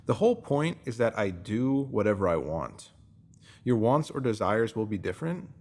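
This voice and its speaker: Man, lowish pitch, scripted self-help narration, instructive delivery. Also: very animated, normal speed, darkish tone. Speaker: narrator reading a written post.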